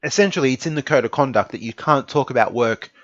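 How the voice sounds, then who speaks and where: A man's voice: medium pitch, quick pace, fairly bright tone, dry recording, muffled recording. An Australian man of twenty-nine giving an informal opinion monologue, earnest and emphatic, repeating phrases for stress. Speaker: esports content creator giving an opinion piece.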